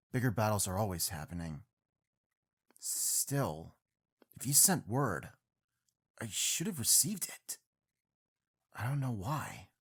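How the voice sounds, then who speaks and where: An actor playing an earnest, battle-weary masked hero in an audio drama, with a husky voice. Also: male voice, on the low side, sing-song, takes it slowly, long pauses, heavily acted. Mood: puzzled, troubled.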